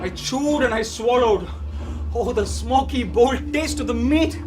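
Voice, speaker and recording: Man acting a high-voiced, theatrical young Indian man. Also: unhurried, recorded from a distance. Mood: defiant. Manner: theatrical, declamatory, swinging from hushed to shouting. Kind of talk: dramatic monologue storytelling.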